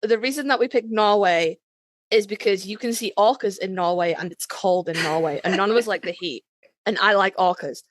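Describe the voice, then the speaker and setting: A woman's voice: mid-range voice, a little fast, noisy background. A woman co-hosting a casual fan podcast in a blunt, salty, informal way. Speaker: podcast co-host.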